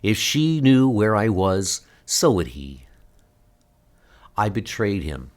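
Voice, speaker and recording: A tough, wary woman bodyguard in hiding, played by a man for a comic dub. The delivery is slow, curt and threatening. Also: lowish voice, very animated. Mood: defensive, wary. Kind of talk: comic book dub acting.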